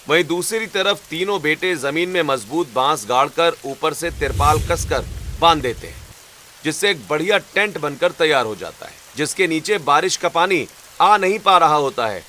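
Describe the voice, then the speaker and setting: Bright-voiced man narrating a cartoon story. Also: on the high side, expressive, moderate pace, slight background noise. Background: background music.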